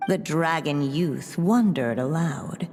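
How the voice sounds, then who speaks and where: A woman playing a composed, knowing storybook narrator in a video game, refined and measured, with long reverb on the voice. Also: low-pitched, darkish tone, soft background music. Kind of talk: scripted fairy-tale narration in a video game.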